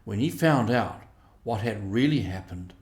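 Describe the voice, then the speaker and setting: Man, storybook narrator, slow measured pace, expressive reading, echoey room sound. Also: lowish voice. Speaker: audiobook reader.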